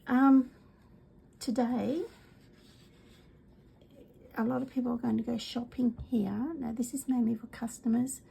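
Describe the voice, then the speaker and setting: Gravelly Australian woman with a cold. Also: a little high, steady tone, unhurried, long pauses, slight background noise, rough recording. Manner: chatty, rambling, many ums, often soft and whispery. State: congested, voice worn from a cold. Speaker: craft product demonstrator. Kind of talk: casual craft video chat.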